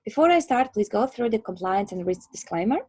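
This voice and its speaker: A woman with a Latvian accent giving an online presentation, explaining in a hesitant, halting way with many filler words. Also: medium pitch, animated, mellow, breathy edge, no echo, clean studio sound. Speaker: presenter and trainer.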